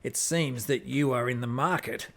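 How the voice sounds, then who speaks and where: A man's voice: medium pitch, normal speed, gravelly, fairly bright tone. A man playing a pragmatic, roguish spaceship captain, decisive and plain-spoken, in an amateur sci-fi radio play. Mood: businesslike.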